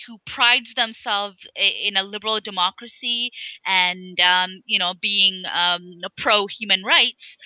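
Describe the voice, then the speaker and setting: A young woman from Sri Lanka, an interview guest speaking in a halting way with many fillers and restarts. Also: medium pitch, sharp tone, no echo, dull audio. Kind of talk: casual interview talk.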